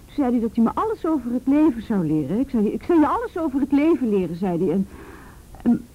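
A woman's voice: fairly high pitch, very animated, fast talker, husky, phone-quality sound. An actress playing a frightened teenage girl in a Dutch radio play, stammering and repeating words as she recounts painful memories. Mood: frightened, agitated.

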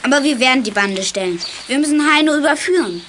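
A woman playing a plucky, determined youngster from a detective gang in a radio play, forceful and cheeky. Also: high-pitched, animated, fast, a little hiss or hum. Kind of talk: 1980s German children's radio play acting.

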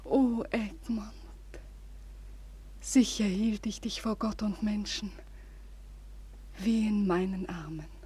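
Desperate young lover for classical radio tragedy: slow, intense delivery, extremely breathy, often sinking toward a whisper. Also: female voice, medium pitch, fairly even intonation, dark tone. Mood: despairing, devoted. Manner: slow, halting, intense declamation. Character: desperate, devoted young woman, lover of a condemned hero. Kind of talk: classical German radio drama monologue.

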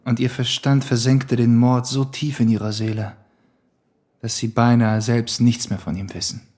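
An actor playing a wry, self-assured police detective in a German radio crime drama, speaking in a dry, knowing, matter-of-fact way. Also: male voice, medium pitch, little pitch movement, moderate pace, a little mellow, lightly acted. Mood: pressing, reconstructing.

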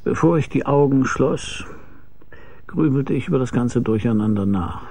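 A world-weary private eye in a radio crime drama. His voice is dark and husky, sitting at an average male pitch. He tells his case drily and questions people in short, wry phrases. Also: expressive, normal speed, faint music behind. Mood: brooding, wry. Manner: laconic, dry, probing. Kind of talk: German radio crime drama, first-person narration and dialogue.

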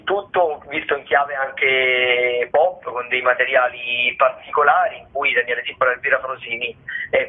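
An Italian man presenting theatre listings on the radio in a conversational, discursive way, often halting and correcting himself. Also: mid-range voice, breathy edge. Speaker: radio arts programme presenter. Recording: muffled, narrow phone-like radio sound.

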